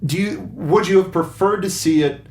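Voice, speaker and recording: A man hosting a film review panel in a casual, somewhat halting way, putting questions to the others, recorded with roomy echo and rumble. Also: darkish tone. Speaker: host of a film review show.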